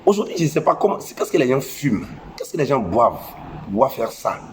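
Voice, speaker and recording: A man's voice: mid-range voice, very animated, brisk, background noise. Scolding man with a head cold. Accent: West African French. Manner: scolding, preachy, repeats phrases for emphasis. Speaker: social media commentator. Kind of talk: impassioned moralizing monologue.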